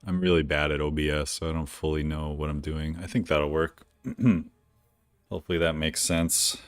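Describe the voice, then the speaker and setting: A man streaming a game live, chatting casually with viewers, with a smooth radio-like voice and a slightly stuffy nose from allergies. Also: a bass voice, moderate pace. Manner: relaxed, chatty, greets viewers by name. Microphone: decent studio microphone.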